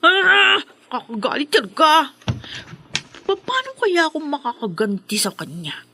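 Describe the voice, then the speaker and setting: A woman's voice: high-pitched, big pitch swings, measured pace, pushed and bright, exaggerated voice. A woman playing a jealous, scheming teenage schoolgirl in a Filipino radio drama, whining and melodramatic. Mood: bitter, furious.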